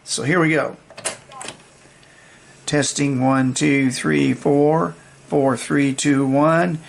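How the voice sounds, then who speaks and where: An older man giving a casual, unscripted demonstration of vintage gear, talking in a folksy, halting, rambling way. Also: fairly high pitch, flat delivery, gravelly, slight background noise.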